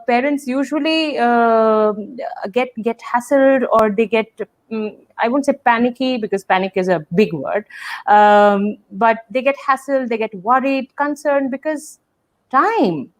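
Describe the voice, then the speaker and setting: A woman who hosts a webinar on drama and talks hesitantly, stopping to search for words as she asks long questions. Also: on the high side. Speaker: host and drama educator. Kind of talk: webinar conversation.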